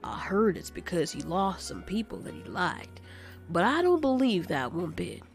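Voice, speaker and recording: A man voicing a calm, confiding male monster who runs a restaurant, casual and measured, in an amateur audio story. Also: high voice, creaky, soft background music, full character voice. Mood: resigned.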